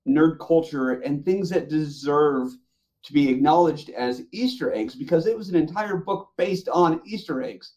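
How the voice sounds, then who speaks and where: A man's voice: on the high side, moderate pace, a little mellow. A man chatting casually as a podcast co-host, opinionated and effusive in the way he talks. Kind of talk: casual panel chat.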